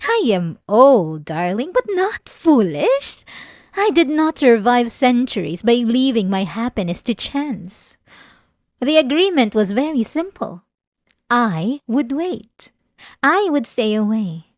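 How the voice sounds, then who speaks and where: A woman's voice: on the high side, sing-song, no room sound. A woman playing a centuries-old, possessive vampire lover in an ASMR roleplay, silky and condescending, with sweet menace, close to the mic. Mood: bitter resolve. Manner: slow, silky, condescending endearments, teasing menace. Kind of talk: ASMR roleplay, dramatic monologue. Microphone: close, dry studio-style mic.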